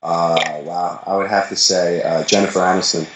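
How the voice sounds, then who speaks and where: A young man from the Bronx, chatting casually as an interview guest, relaxed and rambling with many fillers. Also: low voice, normal speed, echoey room, a little hiss or hum, rough recording. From the Bronx, New York.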